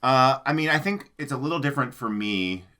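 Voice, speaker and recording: A man's voice: mid-range voice, expressive, brisk, bright, forceful tone, clean tone. A man in his thirties from the American Midwest, chatting casually as co-host of a book channel, rambling with lots of fillers. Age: 30s. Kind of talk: casual chat.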